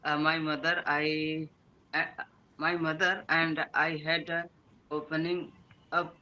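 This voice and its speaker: A man from India, a Hindi speaker with an Indian English accent, practicing spoken English haltingly with many fillers and a polite manner. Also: fairly high pitch, little pitch movement, sharp tone, lo-fi sound, a little hiss or hum. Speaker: English learner. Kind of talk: hesitant student conversation practice.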